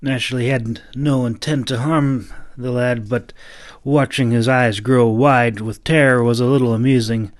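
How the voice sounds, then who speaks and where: A man narrating a fantasy audiobook, reading scripted prose with some halting stumbles and restarts. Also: mid-range voice, steady tone.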